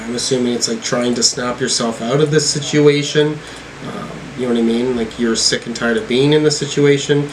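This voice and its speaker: A man's voice: mid-range voice, fairly even intonation, brisk, rough recording. Tentative man reviewing music, faint room noise. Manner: hesitant, thinking aloud. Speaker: music reaction video host. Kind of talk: casual album review, reading lyrics aloud and interpreting them.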